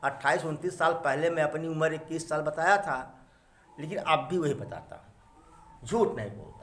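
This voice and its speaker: A man's voice: a little high, expressive, normal speed, fairly bright tone. A man of around fifty teaching a math class, counting aloud, questioning his students and adding joking asides. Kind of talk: classroom lesson. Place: echoey classroom. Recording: echoey classroom.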